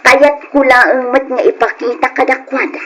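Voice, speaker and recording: A woman's voice: high voice, fairly even intonation, moderate pace, fairly bright tone. A woman playing a proud, resentful, ambitious daughter in an Ilocano radio drama, speaking with emphatic defiance. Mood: bitter, determined.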